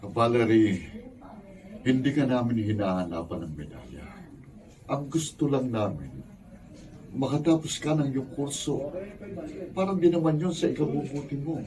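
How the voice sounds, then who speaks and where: Radio drama: actor as earnest, admonishing Filipino dad, average pitch, clear crowd sound behind. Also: male voice, animated, normal speed, long pauses, close to an everyday voice. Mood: hurt, admonishing. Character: hurt, earnest middle-aged father. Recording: distant mic, people heard behind.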